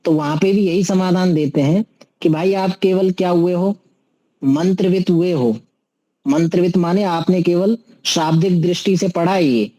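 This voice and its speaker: A man's voice: on the high side, dark tone, poor audio. A man giving an explanatory religious discourse as a teacher, didactic, posing questions and answering them himself, with recited Sanskrit verses. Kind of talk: explanatory sermon, teaching scripture to listeners.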